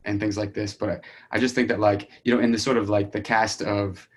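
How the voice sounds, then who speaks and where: Low-voiced young man, hesitant and searching. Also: flat delivery, brisk. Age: young adult. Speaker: playwright. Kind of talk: casual discussion.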